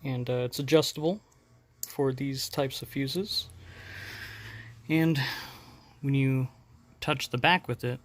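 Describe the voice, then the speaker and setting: A man's voice: mid-range voice, fairly even intonation, takes it slowly, pauses often. A man hosting a hands-on DIY tutorial, explaining each step in an informal, casual way. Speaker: host of a DIY installation demonstration. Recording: noticeable background noise.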